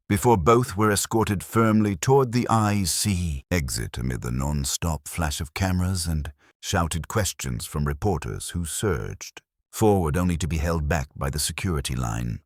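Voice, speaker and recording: A man's voice: deep voice, dry recording, clean studio sound. A man reading a scripted dramatic story as narrator, in a solemn, formal way.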